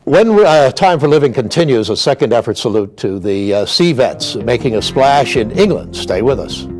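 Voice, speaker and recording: A man's voice: mid-range voice, big pitch swings, moderate pace, darkish tone, faint music behind. A man hosting a magazine programme. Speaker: television show host.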